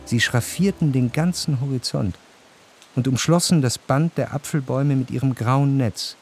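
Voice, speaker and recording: An actor playing a nostalgic gentleman narrator in a literary radio drama, recalling his past in contemplative, lyrical, measured phrases. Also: medium pitch, close to an everyday voice. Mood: wistful, contemplative. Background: faint instrumental music.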